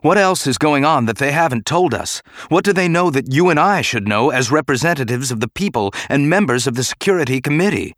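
A man performs an indignant middle-aged politician for an audiobook. The voice is mellow yet forceful and challenging, in a close, dry recording. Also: on the low side, lively intonation, normal speed, no room sound. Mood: angry. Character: middle-aged male senator, indignant, hot-tempered politician. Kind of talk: dramatic audiobook character acting.

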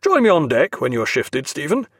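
A commanding old-style navy captain booms out his rebukes, as a male reader puts him on. The voice swings widely as he scolds. The sound is close and dry, with no room around it. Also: huge pitch swings, fairly quick, no echo, full character voice. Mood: gruff. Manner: booming, scolding, gruff. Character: blustering naval captain, tall and commanding. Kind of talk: audiobook character voice.

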